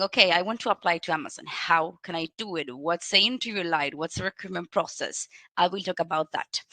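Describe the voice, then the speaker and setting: A woman's voice: medium pitch, bright, forceful tone, dry recording. A woman recruiter from Argentina giving an online presentation, friendly and direct with the audience.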